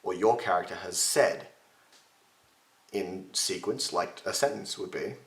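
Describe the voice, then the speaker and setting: Young man, lowish pitch, slightly gravelly from strain, chatty self-mocking webcam tutor, roomy home echo. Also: a little slow. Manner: chatty, self-mocking, gestures with his hands. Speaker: voice impression tutor. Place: home room with shelves, indoors. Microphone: webcam at desk distance.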